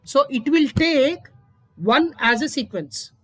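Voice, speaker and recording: A high-pitched woman walks through code one step at a time, checking in with "right?". The recording is close and dry, with no room sound. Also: takes it slowly, dry recording. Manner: steady step-by-step explaining, frequent "right?". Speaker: instructor. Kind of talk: screen-recorded coding lesson.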